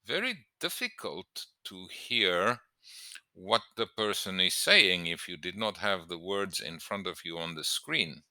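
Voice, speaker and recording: Male English teacher, very low pitch, slow and emphatic, instructional delivery. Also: animated, bright, forceful tone, no room sound. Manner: emphatic, deliberate, stressing key words. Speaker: English teacher giving exam tips. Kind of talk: instructional lesson to camera.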